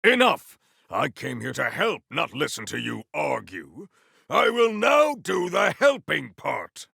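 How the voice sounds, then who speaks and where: A man voicing a big, formal, earnest warrior in a video game, with stately, measured phrasing and a solemn temper. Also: big pitch swings, sharp tone, no echo, studio quality, heavily acted. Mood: rousing, emphatic. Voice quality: deep, gravelly.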